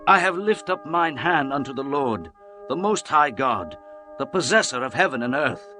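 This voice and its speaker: A man's voice: mid-range voice, animated, normal speed, bright, forceful tone. A man voicing an ancient patriarch who speaks earnestly and pleads with his god, a little distant over music. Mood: solemn.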